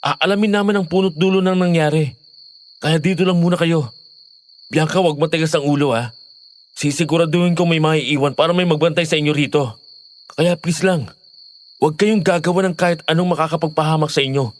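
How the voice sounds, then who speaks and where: A man plays an earnest young boyfriend in an audio horror drama. He has a high male voice and speaks in a pleading, protective way over faint noise. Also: a little fast, natural voice. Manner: pleading, reassuring.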